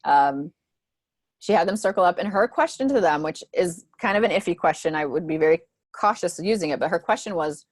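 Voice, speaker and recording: A woman's voice: fairly low pitch, animated, a little fast, dry recording. A woman presenting to teachers on a mentoring video call, talking conversationally with frequent "um" and "right?" asides.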